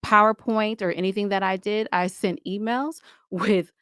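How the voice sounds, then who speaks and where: A woman's voice: medium pitch, fairly even intonation, normal speed, fairly bright tone, smooth, no room sound, clean studio sound. A woman talking casually as an interview guest, emphatic and given to repeating points for stress. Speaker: interview guest, audio drama podcaster.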